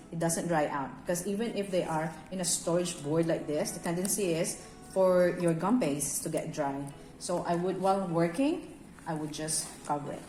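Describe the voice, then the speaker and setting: A woman's voice: lowish voice, a little mellow. A Filipino-accented woman, a cake decorating instructor, talks viewers through a hands-on craft tutorial in a conversational, instructive way. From Bacolod, Philippines. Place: indoor room, echoey. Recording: echoey room with music behind.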